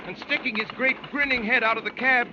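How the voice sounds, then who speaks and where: A man's voice: extremely high pitch, fairly even intonation, a little fast, background noise. A man acting as an adventurer who narrates a wild chase in theatrical, declamatory 1930s radio drama style. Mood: excited, urgent. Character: male adventurer recounting a wild chase, urgent, amazed. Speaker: narrator and lead actor. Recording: dull 1930s radio recording, music behind.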